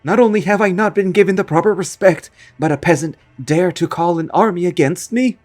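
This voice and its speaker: A haughty magus spirit , as a man puts him on, booms out archaic threats in a raised, slow, theatrical voice. He calls everyone a peasant in lofty, pompous tones. Soft music plays faintly behind. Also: animated. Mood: enraged.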